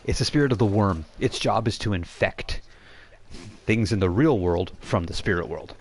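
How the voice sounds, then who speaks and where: Low-voiced man explaining as game master. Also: expressive. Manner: halting, explanatory, self-correcting. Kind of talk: improvised tabletop game narration, casual.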